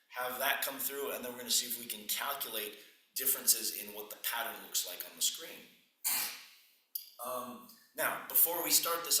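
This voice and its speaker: A middle-aged man giving a physics lecture at a whiteboard, his voice husky and croaky, often clearing his throat, talking unhurriedly with pauses. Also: mid-range voice, bright, forceful tone. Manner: unhurried, pausing with fillers. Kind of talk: classroom lecture. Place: classroom at a whiteboard. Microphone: room camera at a distance. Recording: echoey classroom from far off.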